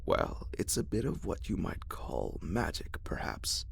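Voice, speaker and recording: A man voicing a weathered old soldier who speaks of a cursed charm in a hushed, offhand way. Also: sits low, huge pitch swings, a little slow, gravelly, in a whisper, heavily acted. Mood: guarded.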